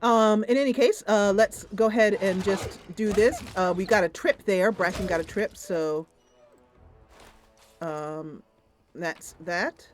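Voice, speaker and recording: A woman's voice: medium pitch, slow pace, faint music behind. A woman streamer improvising story narration over a video game in a loose, conversational way.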